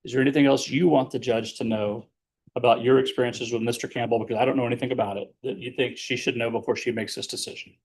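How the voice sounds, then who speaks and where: A man working as a defense attorney, questioning his client in court in a measured, explanatory way with leading questions. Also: mid-range voice, fairly even intonation, a little mellow, slight background noise, low-quality recording.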